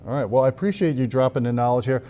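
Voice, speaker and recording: A man's voice: mid-range voice, racing, dark tone. A man in his forties hosting a casual trade show interview in a large hotel demo room, on a lapel mic. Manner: casual, upbeat questions.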